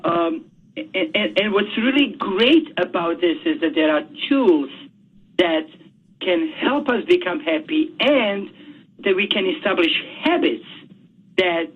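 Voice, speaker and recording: A high-pitched man talks as a guest on a radio show, stopping and repeating words as he searches for them. His voice has a husky edge. It comes through a thin, tinny phone line. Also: expressive, a little slow. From Bosnia. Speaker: author guest.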